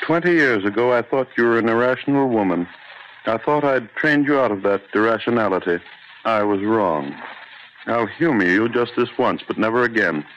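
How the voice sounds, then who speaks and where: An actor playing a selfish, irritable middle-aged scientist husband, curt and contemptuous, in old-time radio drama style. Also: male voice, lowish voice, expressive, moderate pace, natural voice. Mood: scornful. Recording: muffled, hissy old radio recording.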